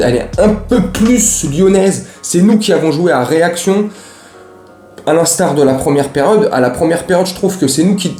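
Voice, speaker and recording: A man hosts a chatty football fan recap. His mellow, relaxed voice rings in a roomy space. He uses plenty of slang and speaks directly to the people watching. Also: fairly high pitch. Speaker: host of a football fan show. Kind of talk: casual match recap to camera. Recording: roomy, echoey room sound.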